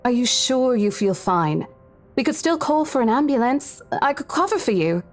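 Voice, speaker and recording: A woman's voice: a little high, sing-song, normal speed, faint music behind. A woman voicing a caring, responsible young estate agent in a visual novel game, articulate, firm and protective. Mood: concerned.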